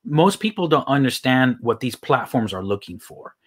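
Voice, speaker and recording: A man's voice: medium pitch, very animated, normal speed. A man in his thirties with a mixed Texan, Irish and British accent, a guest talking casually about online marketing, rambling with many restarts. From United States. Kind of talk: casual interview talk.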